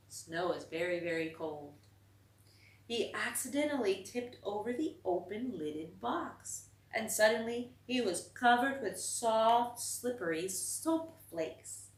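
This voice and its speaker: A woman reading a children's story aloud expressively, pausing for little asides to young listeners. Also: medium pitch. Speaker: storytime reader, teacher figure. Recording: roomy echo, distant from the mic.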